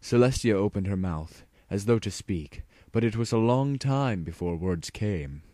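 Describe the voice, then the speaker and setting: A man's voice: lowish voice, animated, measured pace, mellow, dry recording, studio quality. A man narrating a story as a dramatic reading.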